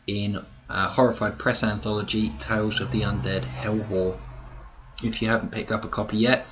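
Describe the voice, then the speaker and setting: A man hosting a podcast with casual, chatty, wry commentary. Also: lowish voice. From United Kingdom. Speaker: host, magazine editor-in-chief. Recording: dull lo-fi home recording, faint noise.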